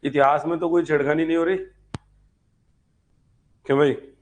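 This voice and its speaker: A man's voice: on the high side, fairly even intonation, moderate pace. A man giving an informal online history lecture as a teacher, repeating key points and asking check questions. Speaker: history teacher for exam preparation.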